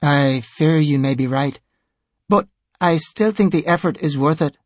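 A man voicing a calm, dryly insolent cleric in an audiobook, with a polite manner. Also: fairly high pitch, a little slow, no echo. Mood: measured. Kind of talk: audiobook character voice.

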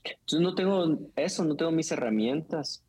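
A man who preaches, talking casually in conversation, animated and quick, with filler words and laughs. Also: a little high, husky, rough recording.

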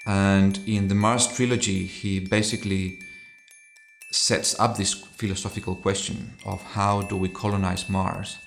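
Deep gravelly-voiced Chilean-born academic man. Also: flat delivery, soft background music. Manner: thoughtful, halting, restarts phrases. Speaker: associate professor, anthropologist. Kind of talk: interview answers.

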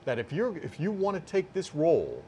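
Deliberate older man over clear background noise. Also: a little high, huge pitch swings, dark tone, highs cut off. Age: 50s or older. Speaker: sports public address announcer, interview guest. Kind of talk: casual sit-down interview.

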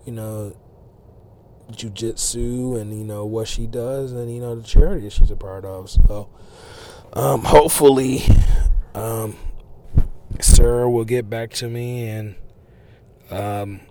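A man hosts a raw, unedited podcast, talking in a loose and rambling way with plenty of fillers and false starts. His voice sounds slightly hoarse and worn from talking too much. His pitch sits in an ordinary middle range for a man. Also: fairly even intonation, slow pace, long pauses, warm tone, a little hiss or hum. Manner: rambling, many fillers and restarts. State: losing his voice, a bit hoarse. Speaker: podcast host. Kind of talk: unedited casual podcast monologue. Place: restaurant.

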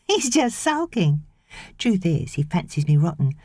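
A woman's voice: on the low side, very animated, measured pace, a little mellow, dry recording, pitched above the performer's own voice. A British woman voicing a chirpy, slangy sixteen-year-old babysitter girl in an audiobook. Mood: gleeful, scheming.

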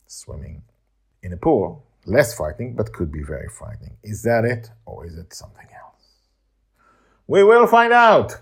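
Middle aged guy w/ a deep voice chatting to his podcast listeners, kinda halting n emphatic. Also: husky. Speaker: podcast host and author. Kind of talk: informal solo podcast narration, addressing listeners.

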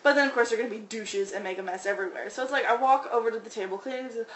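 A woman with a small, soft voice, telling restaurant-work stories in casual chat and mimicking customers. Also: on the high side, racing, echoey room, slight background noise. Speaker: restaurant worker, podcast guest.

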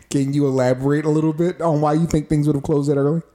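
A man's voice: on the high side, steady tone, normal speed, mellow. A young man co-hosting a podcast, chatting casually with many fillers and restarts. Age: young adult. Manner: rambling, lots of fillers and restarts. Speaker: podcast co-host. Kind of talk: casual chat.

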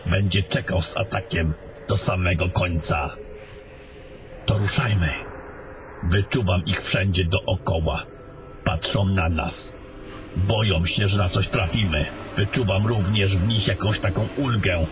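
A man's voice: sits low, fairly even intonation, measured pace, music in the background. A man playing a travelling adventurer in a fantasy audio drama. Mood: uneasy. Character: fantasy adventurers, gruff travelling men and a mage.

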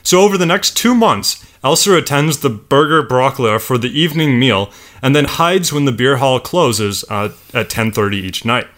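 A man's voice: mid-range voice, expressive, moderate pace, a bit bright. A man co-hosting a podcast, telling a history story and laughing between lines.

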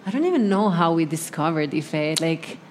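A woman's voice: sits low, animated, normal speed, a little hiss or hum. A woman musician being interviewed, speaking in an easygoing, hesitant way with frequent laughs. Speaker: musician guest. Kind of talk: casual interview chat.

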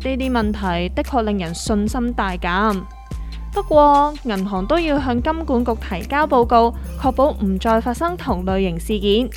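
A woman's voice: on the high side, expressive, moderate pace, soft background music, natural voice. A woman with a Hong Kong accent playing a self-assured, trend-chasing small investor who explains things showily in a comic radio drama. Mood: explaining.

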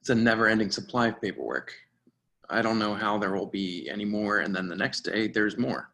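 A man's voice: on the low side, a little fast, gravelly, bright, forceful tone. An actor playing an overworked, polite and hesitant professional man in a dramatic screenplay reading. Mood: weary, friendly. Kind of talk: dramatic table read.

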